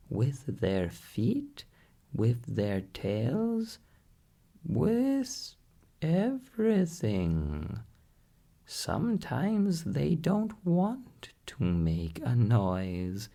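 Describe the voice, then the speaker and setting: A man voicing a knowing female parrot in a bedtime storybook, in a high, patiently instructive voice. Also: creaky, dark tone, heavily acted. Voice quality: high, falsetto voice. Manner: drawn-out, instructive delivery. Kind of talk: storybook character voice.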